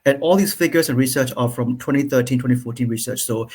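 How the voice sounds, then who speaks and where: Halting man giving study tips. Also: mid-range voice, a little mellow, breathy edge. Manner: halting, many restarts and fillers. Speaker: guest. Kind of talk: conversational advice over a call.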